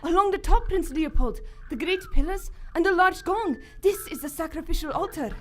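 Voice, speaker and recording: Woman acting as brave young Indian adventuress, high voice, formal dramatic delivery, radio play, some background noise. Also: moderate pace. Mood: wary, intent. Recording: live stage recording, some background noise.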